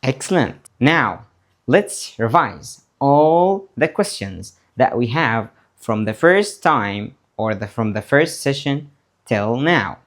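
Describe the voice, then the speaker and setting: A man teaching a beginner lesson, saying words slowly and repeating them for learners to copy. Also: medium pitch, very animated, fairly bright tone. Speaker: English teacher. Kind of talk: slow beginner English lesson, drilling words.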